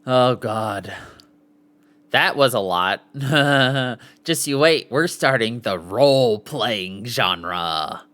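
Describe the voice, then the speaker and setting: Casual male podcast host, slow and animated. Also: medium pitch. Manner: stumbles over foreign names, sounding them out. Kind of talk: casual live podcast, reading aloud from lists and articles.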